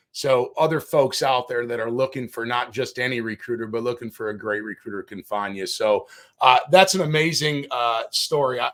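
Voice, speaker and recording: A man's voice: mid-range voice, a bit bright. A man hosting a casual interview, upbeat and encouraging as he asks questions. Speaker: interview host.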